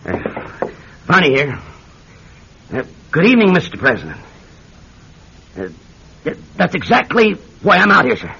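An actor playing a pompous, bullying senior senator with a raspy, blustering delivery in a classic radio drama. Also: male voice, on the high side, huge pitch swings, measured pace, long pauses, pushed and bright, a little hiss or hum, exaggerated voice. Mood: deferential, flustered. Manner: blustering, imperious. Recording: dull old radio drama recording.

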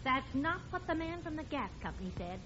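An actress playing an exasperated, no-nonsense middle-aged wife in a radio comedy, brisk, skeptical and scolding. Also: female voice, sits high, sing-song, fairly bright tone. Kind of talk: old-time radio situation comedy acting. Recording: dull, noisy vintage radio recording.

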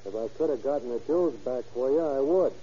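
An actor playing a surly, slangy small-time crook in a 1940s radio crime drama. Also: male voice, mid-range voice, lively intonation, quick pace, mellow, slight background noise, natural voice. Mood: apologetic. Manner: tough street-slang talk. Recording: muffled old radio broadcast.

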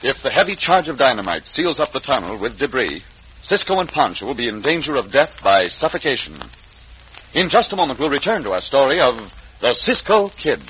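A man's voice: mid-range voice, big pitch swings, fairly quick. A man with an American accent narrating dramatically as announcer of a vintage radio serial. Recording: muffled, noisy vintage radio recording.

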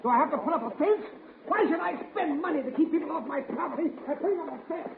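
Gruff, irritable American property owner, tinny radio. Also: male voice, very high voice, lively intonation, fast, a crowd in the background, heavily acted. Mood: threatening. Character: gruff, irritable adult male lot owner, menacing and impatient. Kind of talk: 1950s radio drama acting. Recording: noisy, tinny 1950s radio recording.

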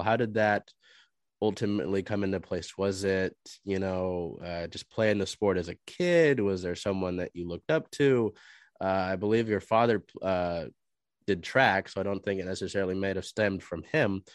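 A man's voice: low-pitched, no echo, studio quality. Man hosting with a stuffy nose. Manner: rambling, long-winded questions. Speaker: podcast host. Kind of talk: sports podcast interview. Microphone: video call.

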